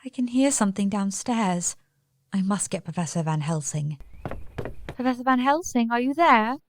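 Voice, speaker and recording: A woman playing a fanciful, haunted yet defiant young gentlewoman in a radio play, with a theatrical, genteel delivery. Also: on the high side, expressive, moderate pace, dry recording. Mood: frightened.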